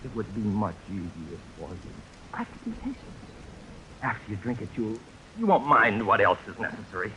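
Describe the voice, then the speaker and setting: An actor playing a mild, apologetic gentleman murderer with genteel, hesitant menace in a 1940s radio drama. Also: fairly low pitch, very animated, a little fast. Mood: reluctant menace. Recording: noisy vintage radio broadcast.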